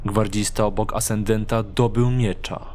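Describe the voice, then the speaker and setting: A man's voice: on the low side, steady tone, normal speed, background noise. A man narrating in a scripted, dramatic storytelling style.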